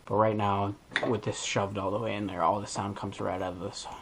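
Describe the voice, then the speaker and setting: A man's voice: lowish voice, level tone, fairly quick, background noise. A man hosting a DIY video, talking through a hands-on tutorial in a casual, informal way as he thinks aloud.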